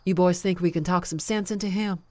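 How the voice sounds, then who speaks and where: A woman's voice: on the low side, fairly even intonation, fairly quick, dark tone, dry recording, lightly acted. A woman playing a humbled but loyal bandit in a fantasy drama, with plain, folksy outlaw speech. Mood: doubtful. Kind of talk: fantasy audio drama acting.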